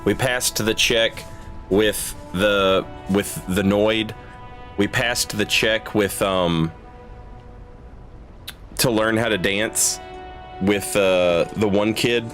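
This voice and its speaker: A man's voice: lowish voice, steady tone, extremely slow, long pauses, fairly bright tone. A man streaming a game with casual commentary, thinking aloud in an informal way. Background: game music.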